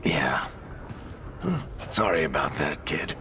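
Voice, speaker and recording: A very deep male game character, a weary and wry friend with fatherly warmth. His intonation rises and falls dramatically in an informal, unhurried way, with music playing strongly behind him. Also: sing-song, exaggerated voice. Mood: gentle, wry farewell. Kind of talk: cinematic game dialogue acting.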